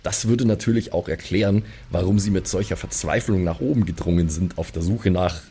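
A man improvising casually as a player in a tabletop game, measured and thoughtful in his phrasing. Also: low-pitched, warm tone, slightly rough. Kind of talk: casual improvised in-character talk.

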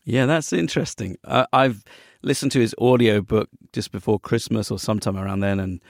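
A man's voice: on the low side, fairly even intonation, fairly quick, vocal fry, no room sound, clean studio sound. A man hosting a casual podcast interview, joking about himself as he goes. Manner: jokey, self-deprecating. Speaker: podcast host.

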